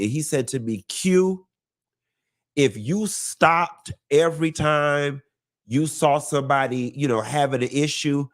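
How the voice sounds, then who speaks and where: A congested man hosting a live gossip show, talking in a sassy, campy drawl, calling listeners honey and baby and swooping up high for emphasis. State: congested from a cold. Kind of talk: casual campy gossip monologue.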